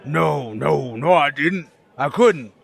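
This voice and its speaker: A man playing a rattled small-time crook in a radio drama, with rough, slangy, defensive speech. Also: a little high, lively intonation, slow, heavily acted. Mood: panicked, guilty. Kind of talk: radio drama acting as a gangster.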